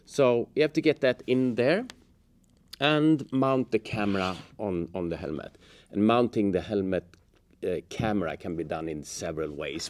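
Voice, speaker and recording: A middle-aged man giving a casual hands-on tutorial, explaining step by step in a halting way. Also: sits low. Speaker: host of a how-to motorcycle gear channel. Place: indoors, office workshop room at a table.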